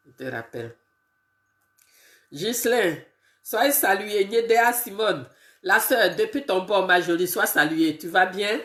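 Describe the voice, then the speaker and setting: A woman who has a bad cold, greeting her viewers one by one on a casual live video and talking in a halting way with many fillers. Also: mid-range voice, lively intonation, a bit bright, echoey room. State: congested with a cold, sniffly and tired. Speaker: political live streamer.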